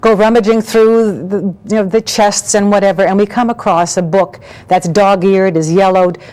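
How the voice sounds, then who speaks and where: A woman's voice: mid-range voice, steady tone, moderate pace, darkish tone, poor audio. A woman presenting and interviewing as a talk show host, with polished, articulate delivery. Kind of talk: scripted introductions and interview questions.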